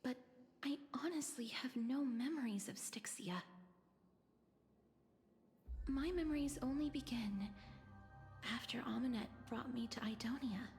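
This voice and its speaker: Game role: solemn, grieving young woman, high hushed voice, formal delivery, echoey with music behind. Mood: grave, hushed. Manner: hushed, breathy, formal, deliberate. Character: solemn, sorrowful young woman tied to death. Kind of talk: dramatic video game voice acting. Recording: echoey, long reverb, music underneath.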